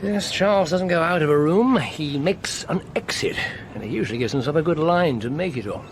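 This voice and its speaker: An actor playing a worldly middle-aged English doctor, wry and urbane, in a radio drama. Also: male voice, on the high side, expressive, a little fast, lightly acted. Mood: amused. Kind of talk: British radio drama acting. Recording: faintly noisy radio play recording.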